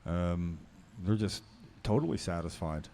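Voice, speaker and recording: A man's voice: sits low, expressive, a little slow, gravelly, mellow. A man co-hosting a podcast, talking casually in a hesitant, rambling way. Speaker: podcast co-host.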